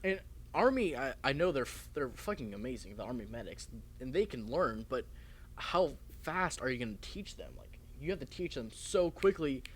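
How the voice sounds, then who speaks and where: A man's voice: medium pitch, sing-song, moderate pace, a little hiss or hum. A young American man co-hosting a podcast, chatting casually and bluntly with frequent swearing. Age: young adult. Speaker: podcast co-host. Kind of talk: casual group chat.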